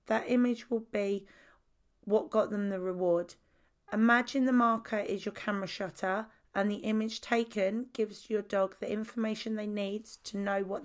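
Female podcast host, croaky voice, calm scripted explainer of training methods. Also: medium pitch.

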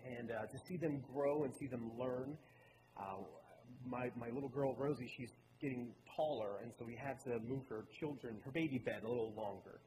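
Man, late twenties, from Baltimore, church talk, earnest storytelling, mid-pitched voice, clear background hum. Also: moderate pace. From Baltimore, Maryland. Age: late 20s. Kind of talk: personal talk in a sermon. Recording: reverberant hall with clear room noise.

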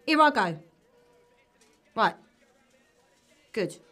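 Blunt, sarcastic barmaid, higher-voiced. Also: female voice, sing-song, very slow, pushed and bright, soft background music, full character voice. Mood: exasperated. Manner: blunt, dry. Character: weary, sarcastic, sweary barmaid. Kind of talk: audio drama dark comedy.

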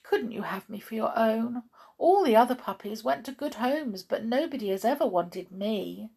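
A high, husky little storybook dog, played by a woman, forlorn and eager to be loved. The puppy pleads and laments in a plaintive, drawn-out way. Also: expressive, warm tone, full character voice. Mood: eager, anxious. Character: sad, ugly young male dog, self-pitying and eager.

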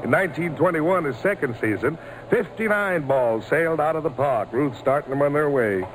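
An actor playing a folksy American old-timer reminiscing in a 1940s radio drama. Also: male voice, a little high, expressive, moderate pace, natural voice. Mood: fond reminiscing. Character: old-timer in the stands, warm baseball storyteller. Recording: noisy old-time radio broadcast recording.

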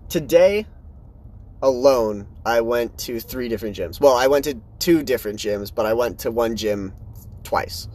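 A young man in his early twenties talks through his own podcast in loose, run-on sentences. His voice sits at an ordinary middle pitch, and his delivery is frank and unpolished, jumping between thoughts. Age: 20s. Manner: rambling, candid, animated swings in pitch. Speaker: podcast host. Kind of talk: casual solo podcast monologue.

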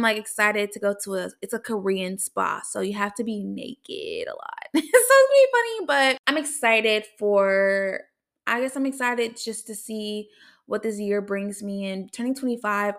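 Bright-voiced chatty woman in her twenties. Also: mid-range voice. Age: 20s. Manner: chatty and upbeat, laughs often. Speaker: podcast host. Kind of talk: casual solo podcast chat.

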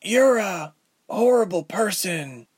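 A man voicing a frightened young heroine, high and pleading. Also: very animated, normal speed. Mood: horrified. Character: frightened defiant young woman with magic.

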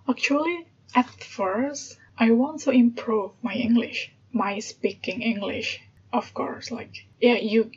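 A woman from Indonesia with an Indonesian accent, hosting a casual solo podcast and thinking aloud with hesitations and light laughs. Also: fairly high pitch. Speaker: podcast host. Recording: dull lo-fi sound with background noise.

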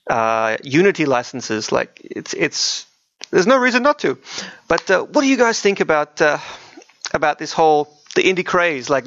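A man's voice: mid-range voice, a bit bright. A man co-hosting a gaming podcast, chatting casually in a rambling, conversational way. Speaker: podcast co-host. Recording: dull, roomy sound.

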